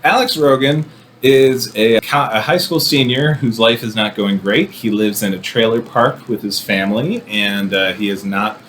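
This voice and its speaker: A man giving a casual, rambling movie review with wry, animated asides. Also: on the low side, normal speed, a little hiss or hum. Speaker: film commentator.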